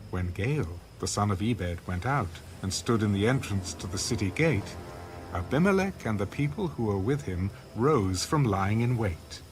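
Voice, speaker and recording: A man narrating an audio Bible in a measured, formal scripted style. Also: on the low side, expressive, faint music behind, natural voice, poor audio.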